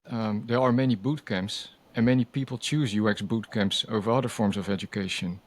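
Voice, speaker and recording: A man's voice: on the low side, fairly even intonation, a little fast. A man hosting a podcast, politely asking prepared interview questions. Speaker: podcast host.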